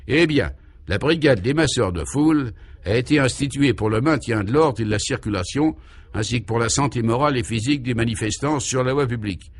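A man's voice: mid-range voice, fairly quick, lightly acted. A man voicing a self-assured local who proudly explains an absurd government in a pompous, emphatic way, in a comic radio serial. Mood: pompous, instructive. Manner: pompous, mock-official, emphatic.

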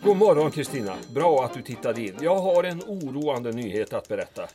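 A man's voice: mid-range voice, sing-song, over music, natural voice. En man som spelar en formell, allvarlig och upprörd svensk ämbetsman i ett ljuddrama. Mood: grave. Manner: formal, grave, indignant. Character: worried stiff Swedish official, male, dutiful. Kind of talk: audio drama acting.

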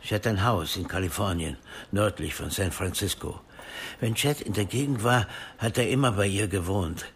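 An actor playing a blunt, streetwise buddy of the hero in a radio crime drama, talking in a slangy, colloquial way. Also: male voice, fairly low pitch, moderate pace, a little hiss or hum, natural voice. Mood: easygoing.

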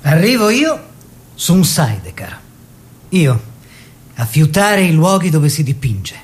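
Young well-to-do painter: higher-pitched, very animated, expressive stage monologue, relishes each short phrase. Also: male voice, slow. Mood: wondering. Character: eager young bourgeois painter fleeing the family business. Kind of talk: theatrical radio monologue.

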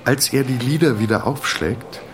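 An actor narrating a literary drama as the weary, introspective inner voice of a middle-aged patient, husky and terse. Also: male voice, mid-range voice, normal speed, music in the background, natural voice. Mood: reflective.

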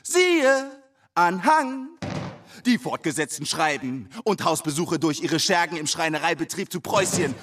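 A man's voice: on the high side, very animated, unhurried, exaggerated voice. An actor playing a pompous man who reads out a crank official letter in a bombastic, mock-official declaiming manner. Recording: distant voice under loud music.

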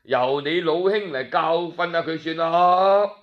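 Give this storybook figure, acted out by a man, the voice of a scheming Cantonese chief minister. He speaks slowly, sighing with feigned innocence and soothing, false sympathy. The recording is slightly dull. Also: a little high, lightly acted. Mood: falsely sympathetic.

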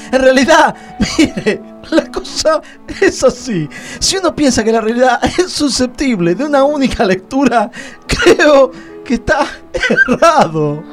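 A man's voice: very high-pitched, animated, a little slow, fairly bright tone, soft background music, full character voice. An Argentine man playing an evasive, rambling, pretentiously philosophical witness in a comic radio play. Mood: hesitant, then pompous. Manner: hesitant, rambling, pompous.

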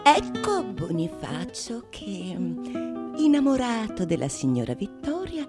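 A woman's voice: mid-range voice, wildly swooping pitch, takes it slowly, music in the background. An actress playing a mischievous prologue narrator in a theatrical monologue, teasing and declaiming to her audience. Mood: sly narrating. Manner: teasing, declamatory, swinging from whispers to shouts. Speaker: stage actress. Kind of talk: theatrical monologue in archaic literary Italian.

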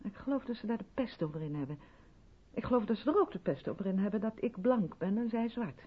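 Radio drama, female role: strict, insistent rehearsal leader, persuasive and pointed, very expressive intonation, muffled vintage sound. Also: medium pitch, quick pace, husky. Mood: earnest, confiding. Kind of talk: 1970s Dutch radio drama acting.

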